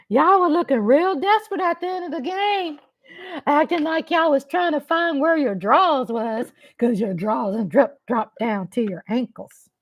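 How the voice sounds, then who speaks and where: A woman's voice: high-pitched, measured pace. A woman from the American South with a Texas accent, hosting a casual live chat stream from home in a playful, teasing way.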